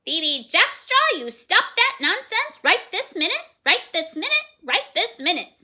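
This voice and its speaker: A woman's voice: extremely high pitch, sing-song, measured pace, bright, forceful tone, pitched above the performer's own voice, heavily acted. A woman voicing a bossy talking green parrot who scolds sharply and repeats the ends of her phrases two or three times. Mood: scolding. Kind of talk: storybook read-aloud.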